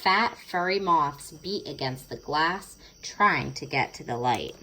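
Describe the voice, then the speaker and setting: A woman's voice: deep voice, expressive, slow pace, pushed and bright, slight background noise, poor audio. A woman narrating a children's storybook expressively, stretching words for dramatic effect. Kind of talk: expressive children's read-aloud.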